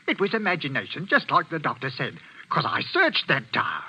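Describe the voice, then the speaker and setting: An actor playing a nervous, deferential, superstitious church helper who stammers, in 1940s radio style. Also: male voice, fairly high pitch, wildly swooping pitch, brisk, bright, forceful tone, breathy edge, exaggerated voice. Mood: flustered, defensive. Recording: muffled vintage radio recording, music behind.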